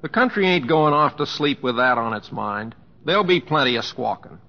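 An actor playing an easygoing middle-aged cowboy humorist with an American accent, speaking in plain, self-effacing homespun phrases in old-time radio style. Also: male voice, medium pitch, animated, normal speed, natural voice. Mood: plain conviction. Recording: muffled old radio recording, reverberant stage.

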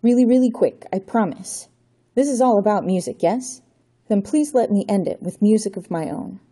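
Desperate young hero, as a woman reader puts him on, urgent and pleading. The voice is husky and mellow underneath, pushed higher than her own. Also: animated, moderate pace.

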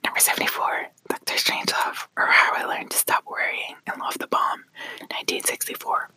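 A whispering ASMR creator softly reading a countdown list, hushed and unhurried, pausing to apologize for small slips. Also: dry recording, poor audio. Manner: soft whispering, quietly acting out each quote before the title, apologizing for slips.

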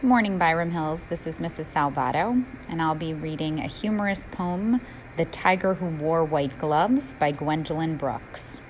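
A woman teacher reading verse aloud in an expressive storytelling recitation. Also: sits low, moderate pace, music in the background, low-quality recording. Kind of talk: expressive poem recitation for listeners.

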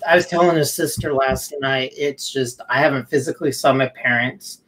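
A man's voice: medium pitch, moderate pace, a bit bright, slight background noise, low-quality recording. A man on a video call, talking candidly and with animation, dropping in bits of humor. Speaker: guest. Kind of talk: casual video call chat.

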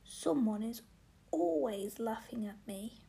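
A woman's voice: a little high, lively intonation, takes it slowly, gravelly, warm tone, full character voice. A woman voicing a shy, lonely little male gnome in a hesitant, sad whisper.

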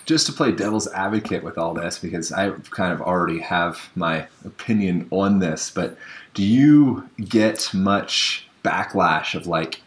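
A man hosting a casual podcast conversation, his voice sitting a bit low. He talks with loose energy and peppers his sentences with "you know." He sounds relaxed and conversational. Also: a little slow. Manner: energetic, says "you know" often, mimics voices for emphasis. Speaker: podcast host. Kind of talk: casual interview chat. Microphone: phone or remote call.

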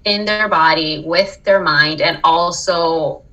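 Bright-voiced woman guest, slightly off-mic. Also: deep voice, takes it slowly, recorded from a distance, dull audio. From Colombia. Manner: earnest, reflective, frequent "right?" and laughs. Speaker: podcast guest, wellness coach. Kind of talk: casual interview storytelling.

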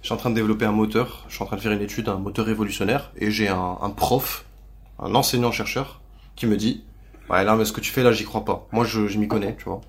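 A man's voice: fairly low pitch, steady tone. A young man chatting casually on a podcast with friends, who often acts out what other people say. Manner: quick, laughing, acts out others' lines. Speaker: podcast co-host. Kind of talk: casual chat.

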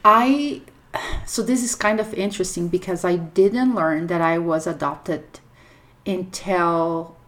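Brazilian woman, portuguese is her first language, kinda low voice, slow and thoughtful, recorded at home. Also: fairly bright tone. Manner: thoughtful, unhurried, with restarts. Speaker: guest, clinical social worker. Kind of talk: reflective personal storytelling. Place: living room of a home. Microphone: table microphone.

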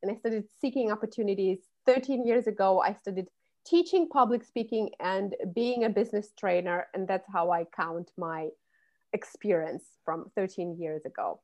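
A woman's voice: mid-range voice, normal speed, darkish tone. A woman, a public speaking coach who explains things fluently in an interview. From Russia. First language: Russian. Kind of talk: podcast interview.

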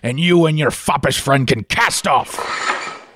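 An actor playing a surly, boastful pirate captain with a rough, gravelly voice and a stage pirate accent in a comic 1940s-style radio play. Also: male voice, fairly high pitch, takes it slowly, fairly bright tone, a little hiss or hum. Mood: menacing. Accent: put-on stage pirate.

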